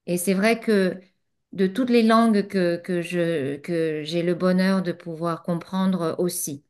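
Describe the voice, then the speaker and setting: A woman spiritual teacher, whose first language is not French, answers a student in a satsang, reflective and pausing often with fillers. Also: fairly low pitch, fairly even intonation, a little mellow.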